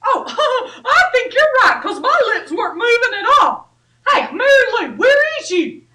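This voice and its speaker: A woman's voice: very high-pitched, sing-song, a little slow, sharp tone, echoey room, heavily acted. A woman voicing a brash, folksy country-girl puppet who boasts about her singing, in a children's church puppet show. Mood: playful. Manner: folksy twang, boastful and teasing.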